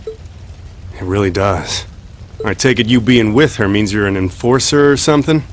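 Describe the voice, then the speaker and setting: A woman's voice: extremely low pitch, measured pace, in a large hall, a little hiss or hum. A woman playing a tough, wry fighter in a video game, with a dry, blunt way of talking. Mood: wry, wary.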